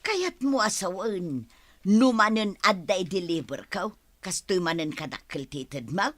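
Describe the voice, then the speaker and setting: A woman's voice: fairly low pitch, animated, unhurried, a bit bright. An actress with an Ilocano accent playing a breezy, trusting woman eager for work in a radio comedy-drama. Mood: eager, delighted.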